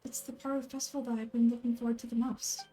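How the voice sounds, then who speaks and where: A woman voicing a gentle, frail young woman in a fantasy game, speaking in a soft, measured, wistful and earnest way. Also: a little high, level tone, soft background music, close to an everyday voice. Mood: hopeful.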